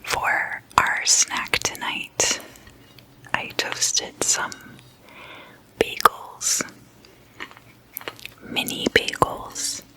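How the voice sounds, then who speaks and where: An ASMR host whispering in a breathy, hushed and deliberate way, as if chatting at a sleepover. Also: poor audio.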